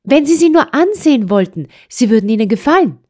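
A woman's voice: fairly high pitch, big pitch swings, a little fast, darkish tone, pitched above the performer's own voice. A woman playing a breathless, indignant young girl. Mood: pleading. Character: spirited young mountain girl, proud and stubborn.

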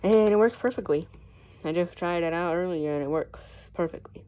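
A young American woman with a low-pitched voice talks casually through a show-and-tell. She is recorded on a handheld phone in a room at home, with faint noise behind her. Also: moderate pace, warm tone. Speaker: hobbyist vlogger showing a collection.

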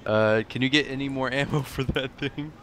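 A man's voice: mid-range voice, steady tone, moderate pace, fairly bright tone. A man chatting casually and swearing as he reacts to a video game. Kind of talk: casual gaming banter. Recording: faint game noise behind.

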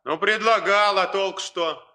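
A man's voice: high-pitched, measured pace, sharp tone, clean tone. A man acting in a 1960s radio play as a brash young worker, a cheeky, needling womanizer. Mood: defiant.

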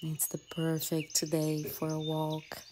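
A woman leading a guided meditation in a soothing, gentle manner, with soft breathy phrase endings and long pauses between short phrases. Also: low-pitched, monotone. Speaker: yoga and mindfulness teacher leading a guided meditation. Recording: faint ambient noise with birdsong. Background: birdsong and nature ambience.

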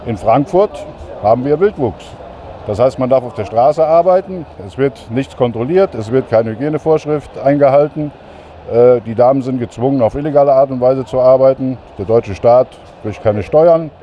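Male reporter, fifties, mellow tone, emphatic street report, slightly dull audio over faint traffic. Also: mid-range voice, normal speed. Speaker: reporter, presenter. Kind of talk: presenting an on-location report to camera. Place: city street outdoors. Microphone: handheld mic. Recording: dull outdoor sound, faint street noise.

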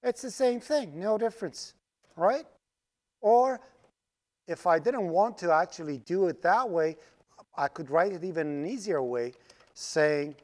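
A man whose first language is Farsi leads a live coding lecture, talking through each step as he types. He keeps checking in with the class and explains in a patient, step-by-step way, recorded over his screen capture. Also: fairly high pitch. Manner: explains step by step while typing code, asks "right?" often. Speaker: programming instructor. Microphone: screen recording with voice-over mic.

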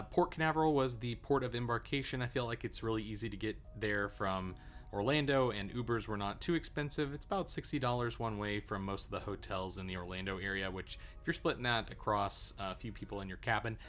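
A young man in a relaxed, chatty travel review, talking casually with frequent "uh" and "um" fillers. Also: lowish voice, fairly quick, soft background music. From Arizona, USA. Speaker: travel vlogger.

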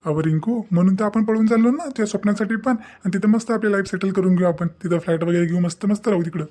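A man's voice: high voice, quick pace, darkish tone. A man voicing a pushy, glib young village boyfriend in a cartoon, with a Vidarbha Marathi accent. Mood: coaxing. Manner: coaxing, glib. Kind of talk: amateur cartoon dialogue.

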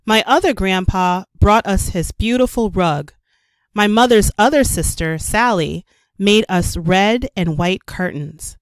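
A woman reading a children's story aloud as a storyteller, with careful, expressive narration for young children. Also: mid-range voice, measured pace, no room sound. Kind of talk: scripted read-aloud narration.

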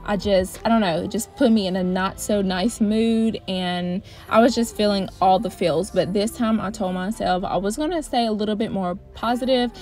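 A woman in her thirties chatting casually in a voice-over for her cleaning vlog, relaxed and full of fillers. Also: medium pitch, normal speed, hardly any pauses, soft background music. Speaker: cleaning vlogger.

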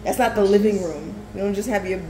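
A woman's voice: mid-range voice, fast talker, a crowd in the background. A woman chatting casually and bantering in an opinionated way, as a parent co-hosting a home cooking stream. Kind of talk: casual chat. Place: home kitchen. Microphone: distant camera mic over the counter. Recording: echoey kitchen with music behind.

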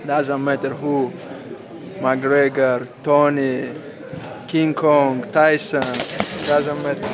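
A man in a gym answering in short, relaxed, unbothered phrases. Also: medium pitch, slow, a crowd in the background.

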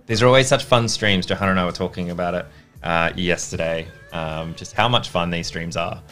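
A man's voice: sits low, fairly quick, a bit bright, soft background music. A young man hosting a livestream, chatty and upbeat with many fillers, on a headset mic. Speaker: stream host. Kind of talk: casual livestream chat. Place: home studio with acoustic foam.

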